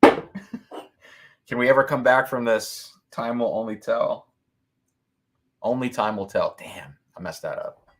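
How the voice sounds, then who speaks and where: A man hosting a whiskey-tasting livestream, talking loudly and excitedly, laughing often and reading viewer comments aloud into a boom mic. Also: slow pace, pauses often. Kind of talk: casual live-stream chat. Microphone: boom mic moved back from mouth.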